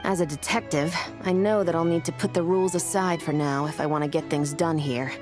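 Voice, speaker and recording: Woman as a low-voiced, composed detective. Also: fairly even intonation, brisk, music in the background, close to an everyday voice. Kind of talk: video game voice acting.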